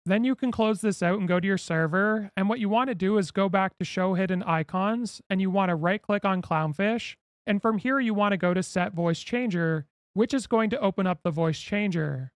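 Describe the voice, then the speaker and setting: A man with a notably high voice narrates a how-to guide in a step-by-step, instructional way. The sound is dry and close, like a screen-recording voice-over. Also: normal speed, no echo. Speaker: tutorial host. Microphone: close mic, screen-recording voice-over.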